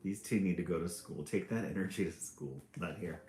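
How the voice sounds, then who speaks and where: A man's voice: low-pitched, fairly quick, dark tone, reverberant, a little hiss or hum, natural voice. A man voicing a weary mother bear fussing over her squabbling cubs. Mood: exasperated. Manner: exasperated.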